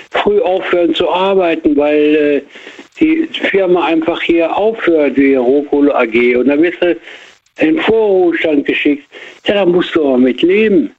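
Older man rambling on a phone line. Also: on the high side. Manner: rambling, reflective, with many hesitations. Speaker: caller. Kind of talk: phone-in chat.

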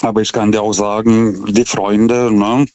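A forty-year-old man calling a radio phone-in, speaking non-native German with an accent, haltingly, stammering over the starts of words. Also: fairly low pitch, flat delivery, a little mellow, no echo. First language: not German. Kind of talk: unscripted, reflective call-in talk. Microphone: telephone. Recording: dull, band-limited phone call.

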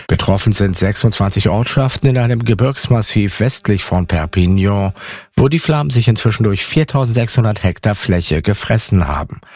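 A male newsreader with a low voice reads the headlines in a measured, even tone. The studio sound is close and dry, with no room echo. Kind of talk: formal news reading. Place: radio studio.

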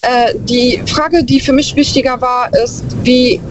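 A woman's voice: high voice, fairly even intonation, measured pace. A woman calling into a radio show, talking reflectively and hesitantly, often restarting her sentences. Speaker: caller. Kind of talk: phone-in call. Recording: muffled phone line with noise.

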